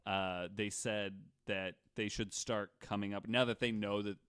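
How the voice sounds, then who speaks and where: A man's voice: lowish voice, fairly even intonation, measured pace, a bit bright, smooth. A man hosting a casual podcast, telling stories from his notes in a jokey, conversational way. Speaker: podcast host. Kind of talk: casual storytelling from notes with banter.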